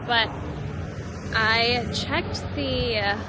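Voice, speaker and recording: A young American woman with a slightly raspy voice, chatting casually and informally to camera as a lifestyle vlogger. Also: a little high, steady tone, extremely slow, very noisy. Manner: informal, frequent "like" and "really".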